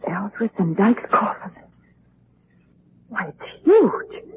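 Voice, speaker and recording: A woman's voice: medium pitch, very animated, slow pace. An actress playing a nervous, spooked female companion to a sleuth in a 1940s radio drama. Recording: muffled, noisy old radio recording.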